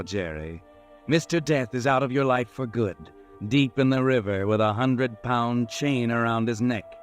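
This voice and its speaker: A man acts out a mysterious, all-knowing narrator who hovers over the story of an old radio mystery, addressing its doomed hero directly. His voice sits at a middling pitch, sardonic and intimate. Faint music plays behind. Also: lightly acted. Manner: sardonic, speaks to the hero in second person. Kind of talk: 1940s radio drama narration.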